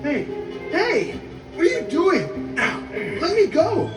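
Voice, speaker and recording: An earnest young man in an animated film, pleading his case. The voice is high and swings widely, heard at a distance in echo with music behind. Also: slow pace, full character voice. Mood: desperate pleading. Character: earnest, distressed young man, favored son sold into slavery.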